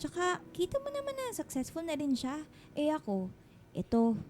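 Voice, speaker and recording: A Filipino actress playing a reluctant, self-doubting single mother in her thirties in a radio drama, softly protesting and putting herself down. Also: high-pitched, wildly swooping pitch, moderate pace. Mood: demurring. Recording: music bed under the dialogue.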